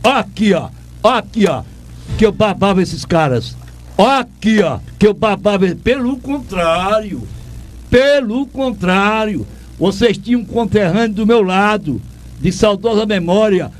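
A man's voice: high voice, animated, a little slow. An older man with a Pernambuco accent, a radio host and former sports reporter, ranting with indignant emphasis and lots of repetition. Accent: Northeastern Brazilian, Pernambuco. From Pernambuco, Brazil. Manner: indignant, emphatic, repeats himself. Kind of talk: ranting commentary.

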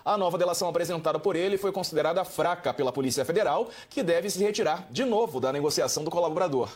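Husky man reading news fast. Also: fairly high pitch. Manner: brisk, steady report delivery. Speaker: news reader.

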